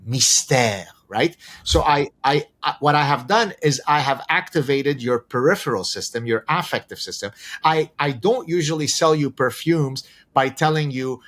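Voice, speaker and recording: A man whose first language is Arabic, explaining ideas as a podcast guest in a deliberate, professorial way with rhetorical questions. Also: medium pitch, fairly bright tone. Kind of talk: conversational explanation with examples.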